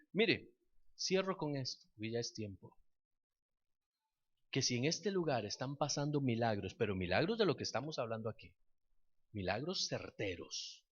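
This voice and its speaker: A man preaching a fervent sermon that turns into a declared prayer, repeating words as he builds to loud peaks. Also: mid-range voice, muffled recording. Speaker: pastor.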